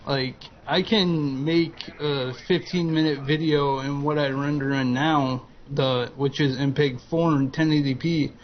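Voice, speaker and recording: A man in his twenties, a guest chatting slowly and hesitantly with frequent ums. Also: on the high side, steady tone. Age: 20s. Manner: slow, hesitant, lots of ums and uhs. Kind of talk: casual chat over gameplay commentary. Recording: dull lo-fi voice-chat audio with faint noise.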